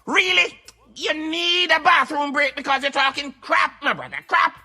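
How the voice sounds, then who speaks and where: Piercingly high, sceptical radio interviewer. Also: male voice, animated, normal speed, gravelly, pushed and bright, faint music behind, full character voice. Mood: scornful, indignant. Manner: incredulous, needling questioning. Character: sceptical, scornful high-voiced radio interviewer, addressing his brother. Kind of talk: comedy radio skit banter.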